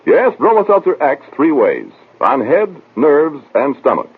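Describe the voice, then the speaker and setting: A man's voice: a little high, huge pitch swings, a little slow, slightly rough. A man working as a radio announcer, reading a sponsor spot in a folksy, polished 1940s commercial style. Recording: thin, narrow old radio broadcast.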